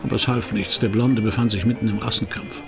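An actor playing a wry, probing private detective who narrates and questions in a German radio crime drama, dry and laconic, with a gravelly voice. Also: male voice, fairly low pitch, steady tone, fast talker, music in the background. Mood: narrating, wry. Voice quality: gravelly, creaky.

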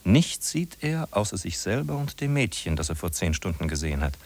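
A man's voice: deep voice, very animated, fairly quick. A man narrating a 1950s radio play in a solemn, declamatory, emphatic scripted style.

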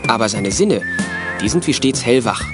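A man's voice: mid-range voice, lively intonation, moderate pace, music in the background, lightly acted. A man narrating a children's detective radio play as its storyteller. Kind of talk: scripted narration.

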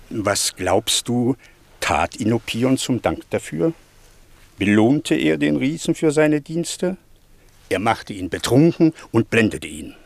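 An actor playing a stern, needling Greek sea captain in a German radio play, telling a tale pointedly with rhetorical questions. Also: male voice, mid-range voice, gravelly, heavily acted.

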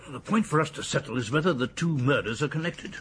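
An actor with an English accent playing a senior police colonel in a radio drama, pondering and questioning with authority in a very husky voice. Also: male voice, on the high side, animated, quick pace, a bit bright, full character voice. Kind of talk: British radio drama acting.

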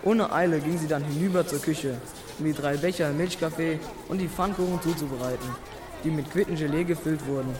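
A man's voice: on the high side, brisk, lightly acted. An actor playing a man reminiscing about his schooldays, narrating in a literary radio play. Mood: nostalgic.

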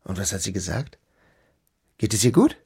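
A man voicing the anxious young hero of an audiobook, asking his questions in a quiet, slow, subdued way. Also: fairly low pitch, sing-song, vocal fry, husky, exaggerated voice. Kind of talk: audiobook reading.

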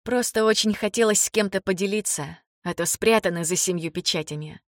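A woman's voice: mid-range voice, a little fast, no echo, studio quality, lightly acted. A woman voicing a hesitant, devoted grown daughter in audiobook dialogue, in a conversational way. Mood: wistful, confiding.

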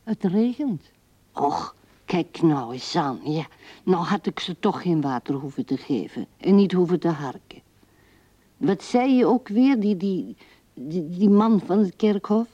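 Elderly widow, low husky voice, slow intimate musing, radio play, dull dry old recording. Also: female voice, animated, dry recording. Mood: chatty, resigned. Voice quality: hushed, soft, often dropping to whisper. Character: old widow musing tenderly at husband's grave. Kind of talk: radio drama monologue.

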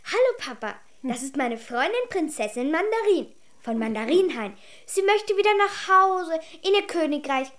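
A female performer playing a feisty, sharp-tongued seven-year-old girl in a children's radio drama, cheeky and impatient. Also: extremely high pitch, sing-song, moderate pace, full character voice. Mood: mocking.

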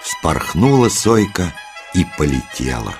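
A man narrating a scripted children's tale in an expressive, deliberate storyteller's delivery. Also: sits low, gravelly, full character voice. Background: music.

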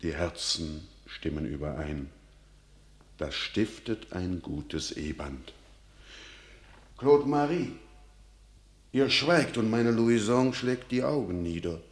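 A man's voice: deep voice, animated, takes it slowly, pauses often, heavily acted. An actor playing an old, pious peasant father, brooding and anxious, declaiming classical verse solemnly in a radio drama. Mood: benevolent, resolute.

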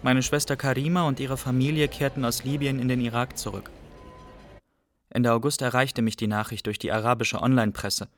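An actor playing an Iraqi refugee who recounts his exile in a measured, matter-of-fact radio drama narration. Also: medium pitch, fairly even intonation, dry recording, soft background music, lightly acted. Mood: sober.

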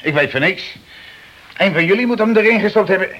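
An actor playing a cheeky, evasive small-time crook in a radio drama, mock-polite and streetwise. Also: male voice, high-pitched, expressive, brisk, a bit bright. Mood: indignant. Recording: dull, noisy old radio play recording.

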